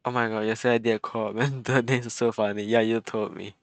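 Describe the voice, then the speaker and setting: A man's voice: on the low side, steady tone, fast talker, no echo. Chatty young man streaming a game. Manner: chatty, rambling, laughs often. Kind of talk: casual live game commentary.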